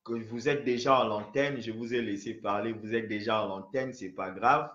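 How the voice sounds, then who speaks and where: A man's voice: on the low side, fairly even intonation, moderate pace, noisy background. A man hosting a phone interview, talking with many hesitations and restarts. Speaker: host. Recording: roomy, dull lo-fi sound.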